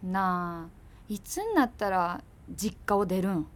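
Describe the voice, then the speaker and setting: A woman's voice: mid-range voice, big pitch swings, takes it slowly. An actress playing a practical, exasperated girlfriend with a Kansai accent in naturalistic radio drama dialogue, blunt and challenging. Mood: teasing, exasperated.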